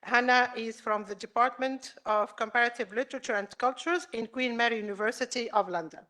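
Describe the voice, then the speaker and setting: Hesitant woman chairing a session, a bit high. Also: steady tone, a little fast, sharp tone. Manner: hesitant, many "uh" fillers. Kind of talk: introducing speakers. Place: conference room.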